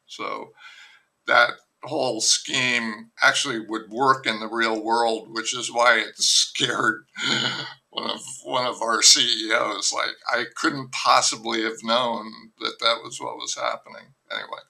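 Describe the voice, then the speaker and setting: An older man, a novelist guest, talking in a rambling, wry way with many fillers and restarts in a relaxed podcast conversation. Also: medium pitch, takes it slowly, gravelly, poor audio.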